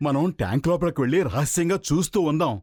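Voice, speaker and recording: A man's voice: on the high side, animated, fast, a little mellow, dry recording, clean studio sound. A man voicing an eager, naive, bumbling buddy in a cartoon dub. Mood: curious. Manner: eager, naive, excuse-making. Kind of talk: animated cartoon dub acting. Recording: music behind the voice.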